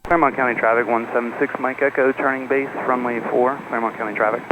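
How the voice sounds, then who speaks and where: A man's voice: mid-range voice, fairly even intonation, fast talker. A man speaking as a pilot, making procedural traffic position calls over aviation radio. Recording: dull, very noisy aircraft radio.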